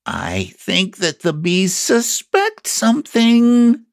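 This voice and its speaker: A man's voice: sits high, wildly swooping pitch, takes it slowly, vocal fry, no echo, studio quality, pitched above the performer's own voice, exaggerated voice. A man voicing a small, earnest toy bear from a children's storybook, ponderous and solemn as he schemes. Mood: hushed, suspicious.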